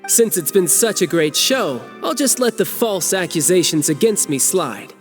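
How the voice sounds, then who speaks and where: A cocky young rogue in an anime game, easily amused. His delivery is theatrical and playful, fairly high and animated, over faint music. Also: moderate pace. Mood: smug amusement.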